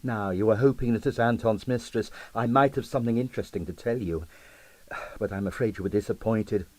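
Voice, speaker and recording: A man's voice: lowish voice, brisk, darkish tone, no echo, natural voice. A man reading the part of a candid, mischievous young ballerina in an audiobook, confiding and wry.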